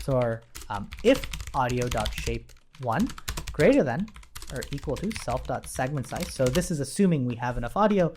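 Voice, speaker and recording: A man's voice: medium pitch, slight background noise. A man giving a casual, step-by-step coding tutorial, thinking aloud and often checking in as he explains. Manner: informal, explanatory, often tags points with "right?". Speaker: programming tutorial instructor.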